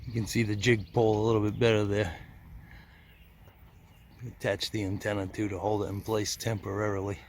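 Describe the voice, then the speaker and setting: A man's voice: lowish voice, level tone, brisk, long pauses, a little hiss or hum. A man gives casual on-the-spot commentary as he films outdoors on a handheld camera. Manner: chuckles at his own remarks. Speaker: camera operator and helper narrating a vlog. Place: outdoors at the foot of an antenna tower.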